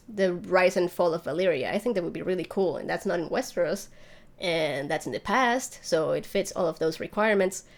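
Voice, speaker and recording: A woman's voice: fairly low pitch, darkish tone. A woman hosting a livestream, chatting casually and rambling as she thinks aloud while answering viewer questions. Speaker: YouTube channel host.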